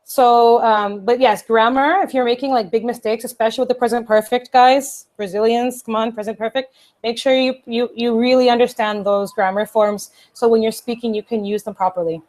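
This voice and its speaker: A woman who teaches English gives lively, encouraging advice on a video call. She is a native Portuguese speaker, and her voice sits somewhat high with a coaching tone. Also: moderate pace. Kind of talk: casual webinar advice.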